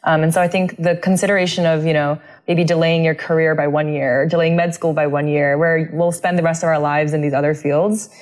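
A woman's voice: low voice, level tone, fairly quick, few pauses. A young woman, an interview guest, explaining earnestly and fluently with some fillers. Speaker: interview guest, a cappella singer. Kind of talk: casual interview talk.

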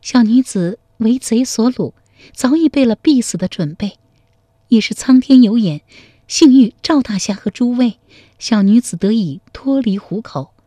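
A woman storyteller playing a bashful young maiden, her voice lifted high and swooping with feeling. She pleads softly and lilts through each line, grateful yet clever. The sound is close and dry. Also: animated, a little slow, dry recording. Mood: earnest pleading. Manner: pleading, soft and lilting. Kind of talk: storytelling radio serial.

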